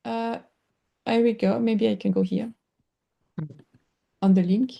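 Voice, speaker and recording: A woman with a French accent lecturing in English over a video call, pausing often and searching for words with many fillers. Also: fairly high pitch, steady tone, vocal fry, highs cut off. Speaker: workshop lecturer. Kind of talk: online teaching session.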